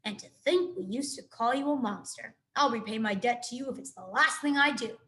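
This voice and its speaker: A woman's voice: fairly high pitch, brisk, a bit bright, close to an everyday voice. A woman voicing an old, folksy female groundhog in a children's storybook, talking in a blunt, homey way.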